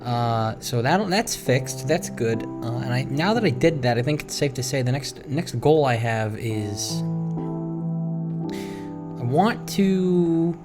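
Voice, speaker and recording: A man's voice: mid-range voice, expressive, slow pace. A man doing casual Let's Play commentary, musing and talking to himself in a rambling way as he plays. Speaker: gaming video creator. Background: game music.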